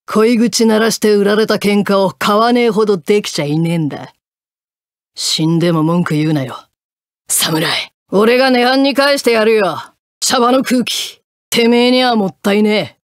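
A woman's voice: medium pitch, moderate pace, dry recording, studio quality, close to an everyday voice. A woman voicing a brash, battle-hungry swordswoman in a fighting game, with coarse, mannish, taunting speech. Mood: cocky, menacing. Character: brash, rough-talking one-eyed swordswoman, battle-hungry and mocking. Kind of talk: Japanese fighting game voice acting.

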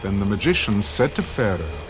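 A man narrating scripture in a scripted dramatic style, solemn and measured. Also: fairly low pitch, very animated. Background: music.

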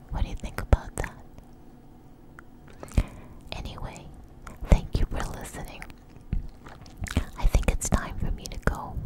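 A woman whispering close to the microphone in a breathy, intimate, conversational way, telling an informal story for ASMR. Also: low-quality recording.

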